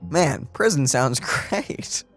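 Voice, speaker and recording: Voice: mid-range voice, expressive, takes it slowly, fairly bright tone. A let's play host giving casual, joking and sarcastic commentary over game music.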